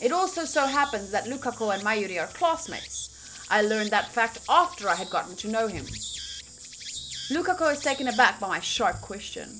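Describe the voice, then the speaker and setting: A woman's voice: fairly high pitch, very animated, moderate pace, sharp tone, slight background noise. A woman game streamer reading a visual novel aloud theatrically, mixed with casual reactions. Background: faint game music.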